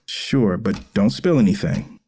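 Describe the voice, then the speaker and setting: A man playing a caring, gently wry middle-aged father, folksy and earnest, in an audio drama. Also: lowish voice, expressive, a little slow, slightly rough, exaggerated voice. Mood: gentle, firm. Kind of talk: audio drama acting, conversational.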